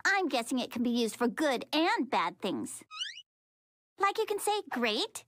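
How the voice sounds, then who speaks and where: A woman's voice: high voice, big pitch swings, slow, background noise, full character voice. A woman voicing a cute, naive little superhero girl in a cartoon, earnest and childlike. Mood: earnest explaining. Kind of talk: cartoon voice acting. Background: music.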